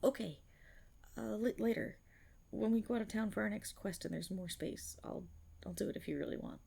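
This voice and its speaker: Woman voicing a timid, creaky-voiced half-dragon girl. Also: medium pitch, brisk, dark tone. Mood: hesitant, confiding. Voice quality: breathy, often slipping toward a whisper. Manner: hesitant, nervous, stammering and rambling. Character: timid young female half-dragon rogue, anxious and proud. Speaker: roleplay voice performer. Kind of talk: intimate ASMR roleplay, character acting.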